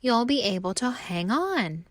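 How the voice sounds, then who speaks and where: Lady doing helpful storybook leaf pal, eager and encouraging, unhurried, dry sound. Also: on the high side, very animated, no echo.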